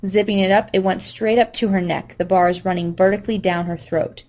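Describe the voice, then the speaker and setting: A woman's voice: mid-range voice, fairly even intonation, fairly quick, a little mellow. A woman reading scripted narration of a novel as an audiobook narrator.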